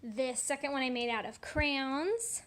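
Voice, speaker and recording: High, animated woman reading to children. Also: unhurried. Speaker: children's teacher reading aloud. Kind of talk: animated storybook read-aloud for children.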